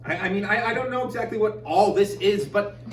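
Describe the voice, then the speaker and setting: A man's voice: high-pitched, brisk, a little hiss or hum, close to an everyday voice. A man playing a defensive, sentimental divorced father in a staged reading of a musical comedy. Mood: flustered, pleading. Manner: defensive, pleading, stammering when flustered. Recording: echoey distant stage pickup, prominent music.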